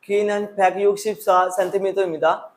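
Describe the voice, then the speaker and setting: A man's voice: high-pitched, flat delivery, moderate pace. A man in his early twenties from Pakistan, a learner with a Pakistani accent, giving rehearsed formal interview answers. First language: not Korean, a learner. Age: early 20s. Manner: recited, formal. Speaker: student practising for a work-visa interview.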